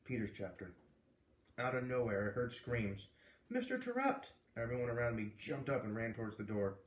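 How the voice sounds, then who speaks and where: A man's voice: mid-range voice, fairly even intonation, fairly quick. Man reading aloud in an echoey room. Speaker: read-aloud narrator. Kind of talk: expressive children's book narration.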